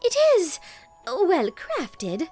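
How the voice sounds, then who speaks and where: A woman's voice: high voice, big pitch swings, drawn-out words, darkish tone, faint music behind, voice pushed up, exaggerated voice. A woman voicing a proud, elegant unicorn noblewoman with a grand, poised and demanding manner, in a storybook reading. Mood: aloof, dismissive. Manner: grand, poised, emphatic delivery.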